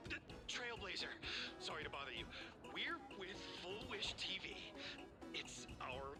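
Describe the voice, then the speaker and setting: An eager TV street reporter in a video game, asking polite questions chirpily. The voice swoops widely in pitch. Game music plays behind. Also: very animated, a little slow. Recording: game audio with background music.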